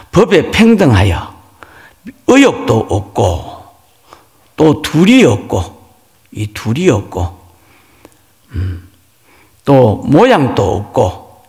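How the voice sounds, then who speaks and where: Gravelly male monk preaching in a reverberant hall. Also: mid-range voice, darkish tone. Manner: explanatory, with rhetorical questions. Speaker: Buddhist monk, dharma lecturer. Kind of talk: Buddhist sermon explaining scripture.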